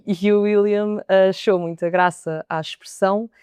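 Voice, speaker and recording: A young woman with a European Portuguese accent talks as a sommelier in a podcast interview. Her voice is smooth and clear, her pitch stays fairly level, and she often doubles a word before moving on. The sound is dry and close. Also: steady tone, slow pace, a little mellow, no room sound. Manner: thoughtful, repeats words as she gathers her thoughts.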